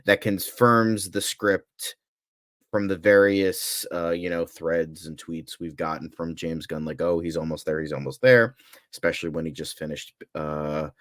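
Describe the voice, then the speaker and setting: Deep-voiced man, enthusiastic podcast co-host. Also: fairly even intonation, unhurried, fairly bright tone, dry recording, clean studio sound. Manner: enthusiastic, rambling, repeats himself. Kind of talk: casual fan chat.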